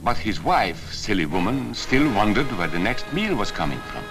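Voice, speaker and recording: An actor playing an earnest refugee professor, speaking in a measured, grave, instructive way in 1940s film style. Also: male voice, on the low side, very animated. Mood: grave, wry. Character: earnest Hungarian refugee professor, sober and cautioning. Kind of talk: 1940s film acting, earnest storytelling narration. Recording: old film soundtrack with music.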